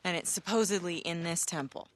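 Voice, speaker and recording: Lady playing a tough war reporter in a game, blunt n sardonic, slightly low voice, faint noise. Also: fairly quick, natural voice. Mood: skeptical. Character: bold, skeptical, tough female war-zone journalist. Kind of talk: naturalistic video game cutscene acting.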